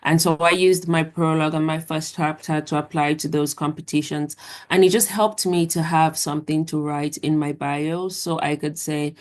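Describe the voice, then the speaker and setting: Low, even-toned woman author. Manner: measured, thoughtful, with fillers. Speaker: author, guest. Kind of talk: casual interview answers.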